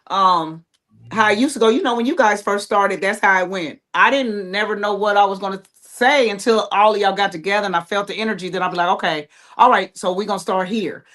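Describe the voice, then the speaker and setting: A woman's voice: mid-range voice, a little fast, fairly bright tone. A woman with an American accent speaking informally and conversationally as a playwright guest in a casual panel chat. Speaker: playwright and creator, interview guest.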